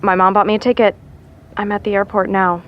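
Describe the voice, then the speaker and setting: A woman's voice: mid-range voice, fairly quick. An actress playing a dry, teasing young woman calling a friend on the phone. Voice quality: wry, deadpan teasing. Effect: phone call. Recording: muffled phone-line sound.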